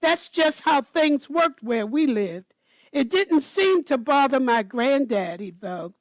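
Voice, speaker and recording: A woman storyteller reading a children's picture book aloud in a deliberate manner. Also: high voice, expressive, no echo.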